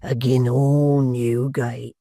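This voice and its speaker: A gravelly, wheezing old hangman with a sly, ghoulish relish in every word, done by a male narrator. He draws words out slowly, as if savouring them. The sound is dry and close. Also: mid-range voice, flat delivery, dark tone, no echo. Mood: gleeful.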